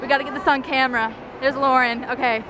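A young American woman vlogging in a loud, rambling, informal way as she walks and chats to a handheld camera. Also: high-pitched, fairly even intonation, fast talker. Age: young adult. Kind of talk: casual chat to camera while walking. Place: large indoor shopping mall, walking. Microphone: handheld camera at arm's length. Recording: noisy, busy shopping mall crowd.

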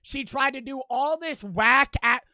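A man in his twenties streaming a game on a headset mic, excitable and swearing, with his voice cracking from wear. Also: very high-pitched, measured pace. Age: 20s. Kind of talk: livestream gaming commentary. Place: small bedroom streaming setup. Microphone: headset mic on boom arm.

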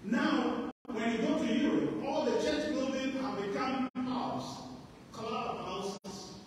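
A high-pitched, middle-aged pastor born in Africa preaches in a large church hall. His voice rings with long echo and sounds far from the mic, and he lilts some lines as he calls out for amens. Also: slow, a little hiss or hum. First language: an African language. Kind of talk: sermon. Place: large church hall, stage with lit backdrop. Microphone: handheld mic, recorded from afar.

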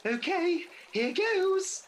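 A woman's voice: very high voice, animated, drawn-out words, heavy background noise, exaggerated voice. A woman playing a nervous, grumbling older pantomime dame in a radio play, exclaiming melodramatically.

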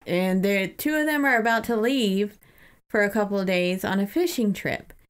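A woman's voice: medium pitch, normal speed, clean tone. A woman co-hosting a podcast, casually retelling a book in an informal, giggly, lightly sweary way. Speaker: podcast co-host. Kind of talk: casual book discussion with retelling and read-out passages.

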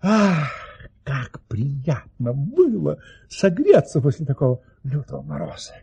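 An actor playing a genial baron who spins tall tales in an audio play, savouring them with dramatic pauses. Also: male voice, on the high side, wildly swooping pitch, warm tone, heavily acted. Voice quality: husky.

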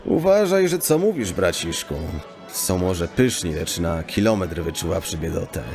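A man playing a wry, brotherly rogue in an audio drama, with a gravelly voice. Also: deep voice, animated, normal speed, a little mellow, soft background music. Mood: wry, cautioning. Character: wry, brotherly male criminal companion of thief.

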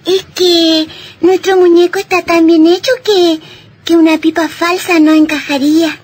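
Eager little kid, super high voice, polite, old radio play. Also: female voice, little pitch movement, moderate pace, a little mellow. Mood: polite, pleading. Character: polite, eager young boy. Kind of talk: old radio drama acting.

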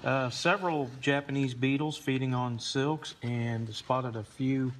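A man's voice: mid-range voice, steady tone, measured pace, slight background noise. A man working as a crop scout, speaking his field notes aloud for a record.

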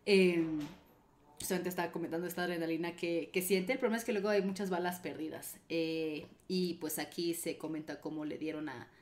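A woman's voice: lowish voice, steady tone, fairly quick, a little mellow. A young woman from Venezuela with a light Venezuelan accent, hosting a podcast and explaining things thoughtfully, with many fillers, from a small bedroom streaming setup. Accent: Venezuelan, softened. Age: young adult. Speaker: podcast host. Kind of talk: casual reaction commentary.

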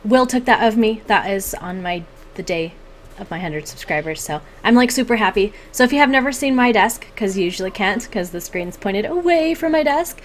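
A woman's voice: medium pitch, expressive, normal speed, slight background noise. A woman in her thirties chatting casually and animatedly to the camera as a vlogger. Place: small home room, indoors.

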